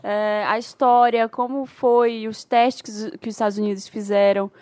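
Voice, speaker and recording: Woman, Brazilian Portuguese accent, casual podcast storyteller, fairly high voice, dry close sound. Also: little pitch movement, moderate pace, a little mellow, no room sound. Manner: chatty storyteller, trails off and restarts. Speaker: podcast co-host. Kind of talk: casual chat.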